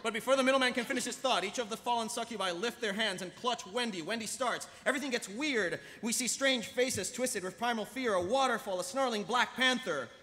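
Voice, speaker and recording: A man narrating stage directions at a live table read, with a brisk, dramatic delivery. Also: very high-pitched, pushed and bright. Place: convention panel stage. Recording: echoey convention hall, audience noise.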